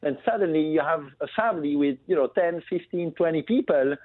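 A man's voice: fairly high pitch, a little fast, no room sound. Man on a thin phone line, explaining. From Belgium. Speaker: phone guest, family business entrepreneur. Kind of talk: conversational radio interview. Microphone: phone call. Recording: muffled narrow phone line.

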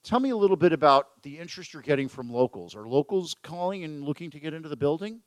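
A man's voice: fairly high pitch, lively intonation, fast talker, no room sound. A man hosting a business talk radio show, chatting casually with a guest and joking at his own expense. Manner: jokey, self-deprecating, chatty. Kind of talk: casual chat. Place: event venue with photographers.

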